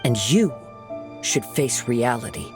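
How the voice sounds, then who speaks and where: A man voicing a calm, perceptive young wandering swordsman in a video game, speaking in a measured, articulate, earnest way. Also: mid-range voice. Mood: firm, reproachful. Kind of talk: dramatic video game dialogue. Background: music.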